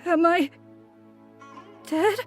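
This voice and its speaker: A woman's voice: extremely high pitch, drawn-out words, heavily acted. A woman voicing a timid, innocent little boy monster in a video game, with hesitant childlike delivery. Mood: anxious, trusting. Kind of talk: dramatic video game voice acting. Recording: background music under the voice.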